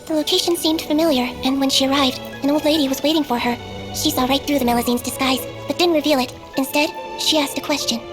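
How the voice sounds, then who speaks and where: Game storybook narrator, woman actor: high voice, gentle, solemn, measured, music underneath. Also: fairly even intonation, close to an everyday voice.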